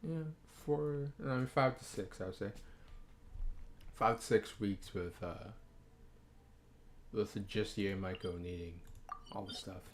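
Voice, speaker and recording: Low-voiced man rambling over game noise. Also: unhurried, long pauses. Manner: rambling, thinking aloud with many fillers. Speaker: game streamer. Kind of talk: casual gaming commentary. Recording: noisy background ambience.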